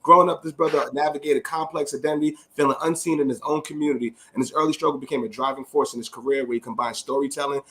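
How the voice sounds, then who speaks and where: A man from the Bronx, co-hosting a podcast in energetic, slangy talk and reading a guest introduction. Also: fairly high pitch, fast talker, hardly any pauses, low-quality recording. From the Bronx, New York. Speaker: podcast co-host. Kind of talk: hype podcast chat with read intro.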